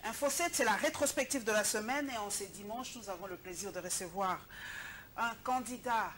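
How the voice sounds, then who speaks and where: A woman's voice: medium pitch, moderate pace, fairly bright tone. Pointed woman TV host. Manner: pointed, confrontational questioning. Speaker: TV news show host. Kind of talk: TV studio interview and news presentation.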